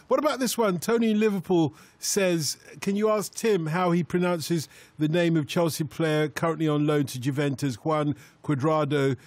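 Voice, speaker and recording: A man's voice: on the high side, a little mellow, no echo. A man hosting a radio phone-in show, chatting jokily and reading out listener messages in a studio. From Nigeria. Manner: jokey, chuckling, reads out listener messages.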